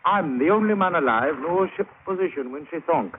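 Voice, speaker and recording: An actor playing a scheming, commanding first mate who talks in a conspiratorial, insinuating way in a 1940s radio drama. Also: male voice, on the high side, animated, fairly quick, lightly acted. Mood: sly, conspiratorial. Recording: tinny vintage radio with faint noise.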